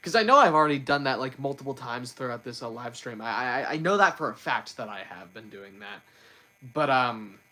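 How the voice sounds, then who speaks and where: Guy rambling on his live stream, bright voice, keeps restarting and repeating words. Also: mid-range voice, animated, fairly quick. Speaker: livestream host. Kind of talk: casual livestream chat.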